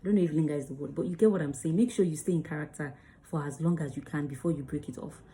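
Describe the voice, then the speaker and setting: Female acting coach, chatty and emphatic, with a low, warm voice. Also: very fast. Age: adult under 35. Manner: chatty, emphatic, demonstrates lines. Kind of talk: casual teaching to camera.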